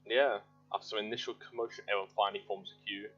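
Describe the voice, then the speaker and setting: A man's voice: fairly low pitch, flat delivery, quick pace, fairly bright tone, highs cut off. A man streaming a game, commenting casually and reading game text aloud. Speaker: game livestreamer. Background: faint game music.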